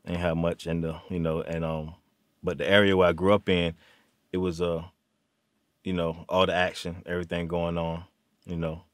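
A man, from Virginia, talking as an interview guest in a halting way full of "um"s and restarts. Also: deep voice, little pitch movement, dry recording, studio quality. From Virginia, USA. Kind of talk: casual interview. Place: small studio room.

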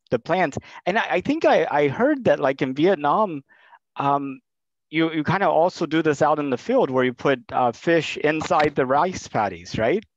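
A man's voice: mid-range voice, no echo. A man in his thirties from Texas, hosting a video call and asking long, rambling questions full of fillers. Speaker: host. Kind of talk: casual video call interview. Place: small room indoors. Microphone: headset. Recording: dull lo-fi call audio.

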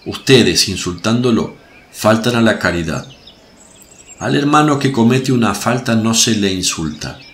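Man acting compassionate male preacher, solemn, low-pitched, measured, religious radio play, faint birdsong noise. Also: animated. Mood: stern, admonishing.

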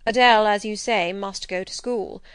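A woman voicing a gruff, wry and domineering gentleman in a dramatised reading of a classic novel, speaking emphatically and teasingly. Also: medium pitch, steady tone, normal speed, no room sound, lightly acted. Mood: sardonic. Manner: emphatic, theatrical, commanding.

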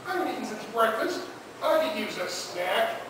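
A woman playing a gruff, menacing, hungry troll in a live comic radio play. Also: on the high side, big pitch swings, moderate pace. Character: grumbling, hungry storybook troll under a bridge. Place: large convention meeting room, on stage. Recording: echoey, noisy convention hall from far off.

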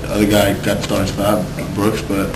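A man's voice: on the low side, monotone, brisk. A young man, a college basketball player, answering press questions in a casual, easygoing way. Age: young adult. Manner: casual, says "you know what I'm saying". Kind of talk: postgame press conference answers. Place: small room with team backdrop. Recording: echoey room, distant mic, background noise.

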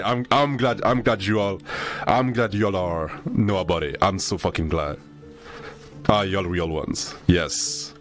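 A young man from Senegal, raised in France, chatting casually with his stream viewers in a laid-back, slangy way, swearing freely. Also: deep voice. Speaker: VTuber streamer.

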